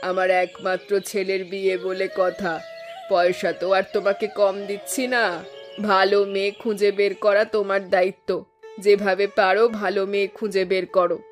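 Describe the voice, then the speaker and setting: A woman plays a middle-aged village mother in a cartoon folk tale. She is firm and complaining in a mid-range voice, with clear plucked-string music behind her. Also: medium pitch, flat delivery, normal speed, close to an everyday voice. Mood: demanding. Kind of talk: animated Bengali folk tale voice acting.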